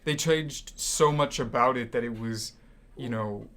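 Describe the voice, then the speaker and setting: A young man co-hosting a student podcast, talking casually about movies with animated, hyperbolic reactions. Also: medium pitch, measured pace. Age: young adult. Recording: noticeable background room noise.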